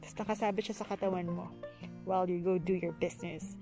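A woman talks casually and chattily, explaining the things she packed for the trip. She is recorded outdoors at a campground, with soft music faintly underneath. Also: lowish voice, level tone, quick pace. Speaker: family camping vlogger. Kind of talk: casual vlog chat.

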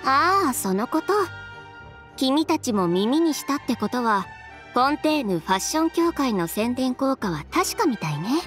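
A young woman designer in a video game, self-assured and sharp-tongued. Her high voice moves animatedly through brisk, dryly teasing lines, with soft music underneath. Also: sing-song. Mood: assured, explaining. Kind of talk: video game voice acting in Japanese.

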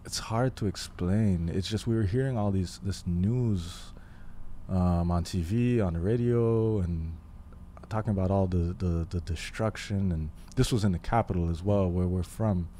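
Low dark-voiced young man from Haiti. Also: a little hiss or hum. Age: 20s. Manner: thoughtful, pauses often with fillers. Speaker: guest. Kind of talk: casual interview. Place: living room podcast set. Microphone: studio mic on boom arm, headphones.

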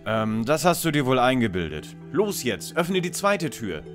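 A man's voice: medium pitch, big pitch swings, normal speed, soft background music, lightly acted. A man playing a bossy know-it-all boy detective leader in a parody audio drama, articulate and commanding. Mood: smug, insistent.

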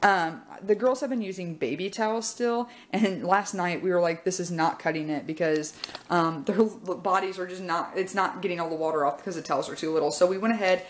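A young woman chatting casually in her home kitchen, upbeat and full of "um" pauses as she walks through her grocery haul. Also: lowish voice, slight background noise. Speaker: vlogger showing a grocery haul. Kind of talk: casual chat.

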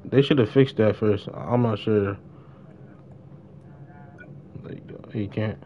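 A young man streaming a game, talking in an unhurried, slangy street style. The mic sounds dull, with faint noise behind him. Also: fairly low pitch. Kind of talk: casual live gameplay chat.

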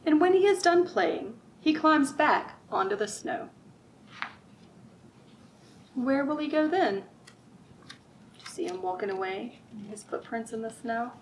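A woman's voice: fairly high pitch, expressive, unhurried, long pauses. A middle-aged woman hosting a children's storytime, reading and chatting to young listeners with simple wording. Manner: asks the listener frequent simple questions. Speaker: children's librarian and storytime host. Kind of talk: children's storytime read-aloud and chat. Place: library room with bookshelves. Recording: distant mic, audible room noise.

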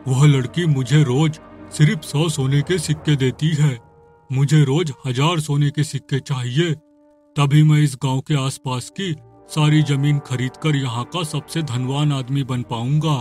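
A greedy cartoon villager, voiced by a man, arrogant and boastful. His voice sits fairly high, and he exclaims his lines over background music. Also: steady tone, unhurried, darkish tone, lightly acted. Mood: scheming.

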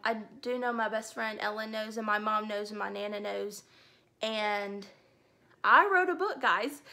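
Bright, upbeat woman in her twenties. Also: fairly high pitch. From Alabama. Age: 20s. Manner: chatty, upbeat, enthusiastic. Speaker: book vlogger. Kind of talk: casual talk to camera. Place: indoor room with bookshelves.

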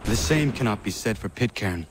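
An actor playing a solemn, resolute young warrior in a video game, speaking in a stiff, formal and earnest manner. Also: male voice, lowish voice, lively intonation, moderate pace, slight background noise, lightly acted. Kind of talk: dramatic video game cutscene acting.

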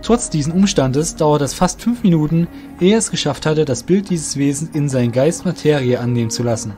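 A man with a fairly high voice reads scripted fantasy narration. He is the storyteller of an audio drama, and music plays behind him. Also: a little fast, dark tone.

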